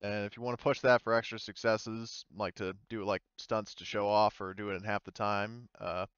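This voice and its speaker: Low, smooth-voiced man running a game. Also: fairly even intonation, moderate pace, a bit bright, dry recording, highs cut off. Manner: hesitant, informal, explanatory. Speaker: game master. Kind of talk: casual tabletop game narration, improvised.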